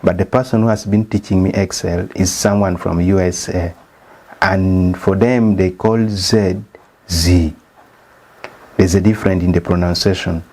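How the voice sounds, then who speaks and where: A man's voice: low-pitched, a little mellow. A man from Uganda with a Ugandan English accent, a statistician hosting his channel and walking viewers through a slideshow lesson step by step. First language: not English. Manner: methodical, explains step by step.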